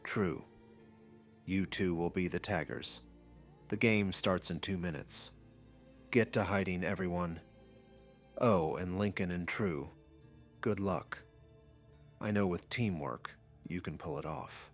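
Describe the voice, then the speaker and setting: Man voicing a deep, mocking, sadistic god. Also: slow, pauses often, soft background music. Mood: taunting. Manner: mockingly amused, taunting, theatrical. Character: gleeful, mocking godlike game master, sadistic and menacing.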